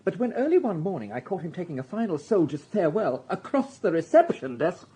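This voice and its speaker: Catty, shifty hotel proprietor. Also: male voice, sits high, big pitch swings, a little fast, dark tone, slightly rough, full character voice, rough recording. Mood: catty, gossipy. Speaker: actor in a radio crime drama. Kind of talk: British radio drama acting.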